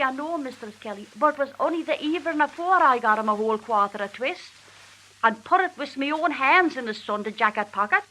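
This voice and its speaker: A crackly 1940s archive recording carries a woman reciting as a Manx country wife in a sharp, fairly high voice. She is chatty and exasperated, confiding her grievances to a neighbour in broad dialect. The storytelling is animated, with steady hiss behind it. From Isle of Man. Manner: animated, confiding, repeatedly addressing her listener.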